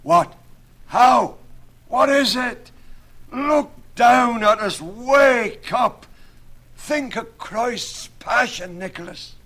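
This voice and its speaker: Storybook role: gullible working carpenter, acted by a male narrator, fretful and oath-swearing, voice rough-edged and swinging widely. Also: mid-range voice, sing-song, a little slow, pitched above the performer's own voice, full character voice. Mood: frantic, exclaiming. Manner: exclamatory, oath-swearing, loud. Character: pious, credulous working-class carpenter, alarmed and fretful. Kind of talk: dramatised verse storytelling.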